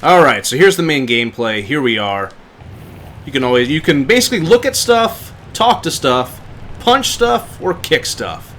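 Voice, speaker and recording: A man's voice: fairly high pitch, big pitch swings, normal speed, a bit bright. Casual male game streamer, faint background noise. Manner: informal, reacts aloud. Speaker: game livestreamer. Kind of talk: casual gameplay commentary.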